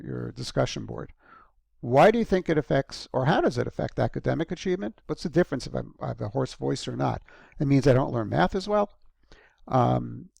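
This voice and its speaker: A male lecturer speaks to his students in a loose, conversational way, pausing on ums and correcting himself as he goes. His voice has a strong gravelly fry. The recording is close and completely dry. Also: medium pitch, darkish tone, no room sound. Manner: conversational, frequent ums and restarts. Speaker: university lecturer.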